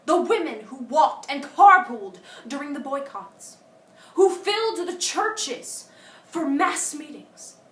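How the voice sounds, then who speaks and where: Woman acting as a high-voiced, indignant civil rights orator. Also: very animated, fairly bright tone, full character voice. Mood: impassioned. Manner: deliberate, emphatic oratory with dramatic pauses. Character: indignant eloquent woman civil rights organizer addressing a gathering. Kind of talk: theatrical audition monologue as a formal speech. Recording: echoey room, distant microphone.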